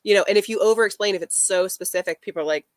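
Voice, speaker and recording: A woman talking in a casual live chat about fashion, deadpan and wry. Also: medium pitch, rapid-fire, no echo, clean studio sound. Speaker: fashion commentary creator.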